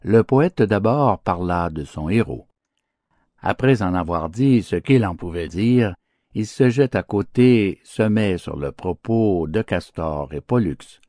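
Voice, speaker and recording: A man narrating fables as an audiobook, with declamatory, theatrical phrasing and an expressive literary delivery. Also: sits low, takes it slowly, no echo, clean studio sound.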